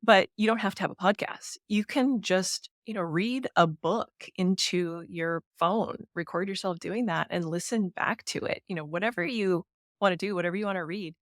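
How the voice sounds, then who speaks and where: A woman with a naturally slightly low voice, co-hosting a casual book-discussion podcast and talking thoughtfully with many fillers. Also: normal speed, dry recording, clean studio sound. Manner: thoughtful, with frequent "you know" and "um". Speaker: podcast co-host.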